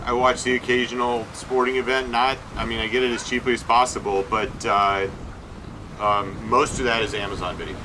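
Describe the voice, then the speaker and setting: A man co-hosting a sailing vlog, plainly walking through costs one item at a time with frequent pauses and fillers. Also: medium pitch, flat delivery, background noise. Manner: matter-of-fact, listing figures with many uh fillers. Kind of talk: casual expense breakdown. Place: aboard a sailboat.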